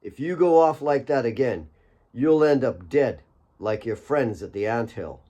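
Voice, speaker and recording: A man's voice: mid-range voice, lively intonation, a little slow, mellow. A man voicing a big, confident young male mine boss in an audiobook, blunt and sardonic. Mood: stern grilling. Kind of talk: audiobook character dialogue.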